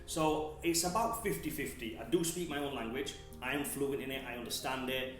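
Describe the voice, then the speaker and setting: A man in his thirties, a gym owner chatting relaxed as an interview guest, often restarting and repeating words. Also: medium pitch, brisk. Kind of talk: casual interview chat. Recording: very echoey room, faint music.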